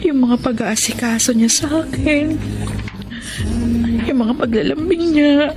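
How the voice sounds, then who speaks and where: A woman's voice: high-pitched, a little slow. Lovesick young woman, music underneath. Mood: longing, sad. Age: young adult. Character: lovesick young woman student missing her faraway boyfriend. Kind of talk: Tagalog radio drama acting.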